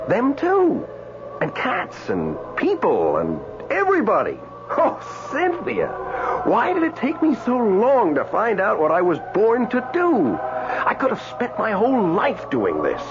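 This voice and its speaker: An actor playing the excitable, earnest ghost of a dead man set on saving lives in a radio drama. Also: high-pitched, unhurried, exaggerated voice. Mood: excited, resolute. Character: ghost of a dead man, fervent, stubborn rescuer. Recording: muffled radio recording, loud music.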